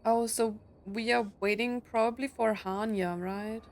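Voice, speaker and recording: A woman's voice: fairly high pitch, fairly even intonation, a little slow. Woman playing and reacting to a game. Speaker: gameplay streamer. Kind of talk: casual game reactions and reading text aloud.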